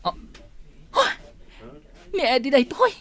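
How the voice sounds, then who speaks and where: A woman's voice: high-pitched, big pitch swings, takes it slowly, fairly bright tone, a little hiss or hum, exaggerated voice. A woman with an Ilocano accent playing an anxious, scolding, concerned friend in a radio drama. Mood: shocked, concerned.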